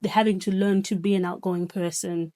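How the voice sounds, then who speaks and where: A woman in her thirties from Zimbabwe, a guest reflecting on her upbringing with a relaxed, thoughtful manner. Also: fairly low pitch, moderate pace. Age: 30s. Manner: reflective, many fillers and soft laughs. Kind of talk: casual chat.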